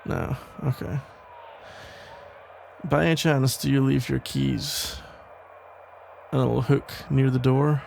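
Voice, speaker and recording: A man playing a protective, worried police officer and ex-boyfriend in an ASMR audio roleplay, talking in a halting, casual, gently exasperated way with a gravelly voice. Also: mid-range voice, steady tone, slight background noise. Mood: calmer, inquisitive. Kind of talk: ASMR roleplay, conversational one-sided acting.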